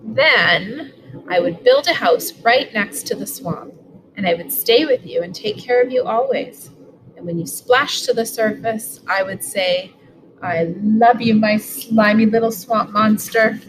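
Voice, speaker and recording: A woman's voice: mid-range voice, measured pace, noisy background. A woman, reading a picture book aloud at home. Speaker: school superintendent reading aloud. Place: living room sofa, indoors. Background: music.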